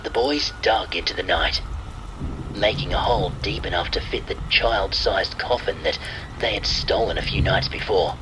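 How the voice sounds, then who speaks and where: A man's voice: low-pitched, normal speed, noisy background. A man reading scripted narration of a spooky story, with rain ambience.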